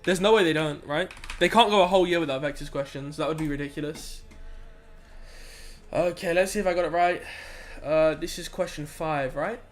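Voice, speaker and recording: High-voiced young man streaming maths. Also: moderate pace, soft background music. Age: young adult. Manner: laid-back, chatty slang, reads chat aloud and mutters while working. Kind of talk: casual live-stream chat while solving maths.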